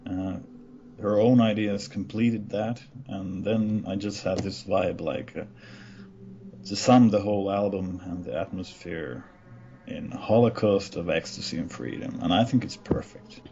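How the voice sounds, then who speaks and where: A man from Finland with a Finnish accent, giving a casual interview about his band and often pausing with "uh.". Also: deep voice, vocal fry, lo-fi sound, a little hiss or hum. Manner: relaxed, halting with many uhs.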